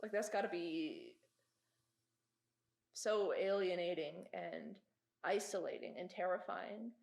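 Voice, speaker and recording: A woman's voice: fairly low pitch, slow, creaky, a little mellow. A woman talking casually and reflectively as a panelist in a group discussion. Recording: echoey room sound.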